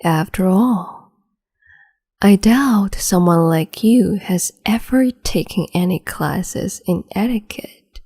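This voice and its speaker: A woman voicing a cruel, capricious empress in an ASMR roleplay, whispering softly and condescendingly with sweet menace, close to the mic. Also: medium pitch, slow pace. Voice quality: breathy whisper.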